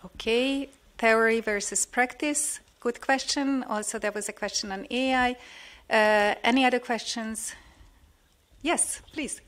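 A middle-aged woman runs the questions at a conference, talking politely into a desk microphone in a big hall. Her voice sits at an ordinary pitch, and she leans on "uh"s while she gathers points. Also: fairly even intonation, a little slow, fairly bright tone. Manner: polite, with many fillers when summarizing. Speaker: moderator. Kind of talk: moderating a conference Q&A.

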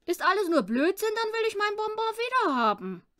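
Woman doing a high-voiced scornful boy. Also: very animated, moderate pace, fairly bright tone, exaggerated voice. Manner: dismissive, challenging. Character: bragging, sceptical young boy.

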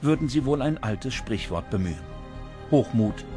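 Guy narrating a documentary, mid-pitched voice, music behind him. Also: expressive, normal speed. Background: background music.

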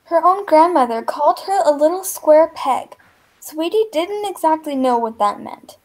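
Very high teenage girl's reading voice. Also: expressive, moderate pace, darkish tone. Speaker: drama club reader. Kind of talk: reading a picture book aloud. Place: indoors on a video call.

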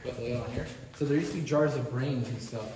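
A man's voice: mid-range voice, brisk, darkish tone, distant mic. Man talking off-mic in an echoey ruin. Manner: jumpy, whispering, swearing casually. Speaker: guide showing a friend around. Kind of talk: casual chat. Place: inside a large abandoned building.

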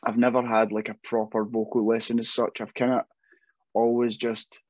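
A man's voice: mid-range voice, level tone, normal speed, darkish tone, no room sound. A young man, a metal vocalist, chatting casually in an interview over a video call, rambling with many restarts and self-deprecating asides. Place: home room, indoors. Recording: dull, dry video call audio.

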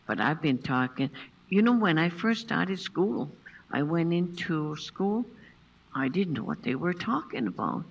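An older woman who grew up speaking Passamaquoddy first, telling stories at a seminar and pausing to find her English words. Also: low voice, big pitch swings, breathy edge, highs cut off. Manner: unhurried, pauses to find English words, laughs often. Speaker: traditional basket maker, seminar guest. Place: lecture room, seated at a table with a panel. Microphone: far room camera. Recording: distant voice in a lecture room.

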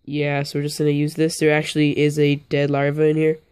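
A young man with a hoarse voice from being a little sick, casually rambling through a hands-on vlog from his floor, often stopping to correct himself. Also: fairly high pitch, completely flat delivery, fairly quick, a little mellow. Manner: rambling, self-interrupting, offhand. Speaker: bug-keeping vlogger. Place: indoors, on a carpeted floor.

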